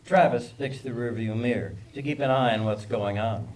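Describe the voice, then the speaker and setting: A man's voice: medium pitch, normal speed, darkish tone, a little hiss or hum. A man narrating scripted stage directions at a screenplay table read.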